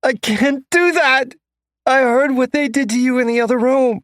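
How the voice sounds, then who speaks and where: A man's voice: very high voice, normal speed, a bit bright, no echo, clean studio sound, pitched above the performer's own voice. A man voicing a terrified young woman held captive, screaming and pleading. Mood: panicked.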